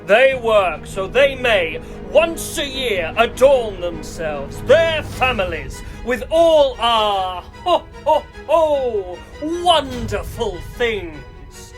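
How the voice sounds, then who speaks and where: Evil santa tyrant in a radio play, high pitched for a man, slow pompous speeches, bit of music behind. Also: fairly bright tone, exaggerated voice. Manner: pompous, theatrical oratory.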